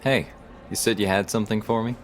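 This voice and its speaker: An actor playing a terse, understated police detective in audio drama dialogue. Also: male voice, fairly low pitch, steady tone, fast talker, soft background music, natural voice. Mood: businesslike. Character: male police detective working a murder case.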